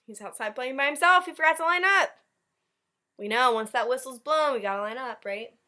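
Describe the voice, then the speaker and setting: A woman's voice: sits high, expressive, brisk, pushed and bright. A woman teacher leading a read-aloud storytime, chatting directly to young children and commenting as she goes. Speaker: elementary school teacher.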